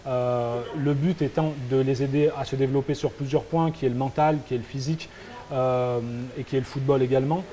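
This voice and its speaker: Hesitant young man with a mellow voice. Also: medium pitch, fairly even intonation, a little fast. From France. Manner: hesitant, many fillers and restarts. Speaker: guest, founder of a football agency. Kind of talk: interview answers.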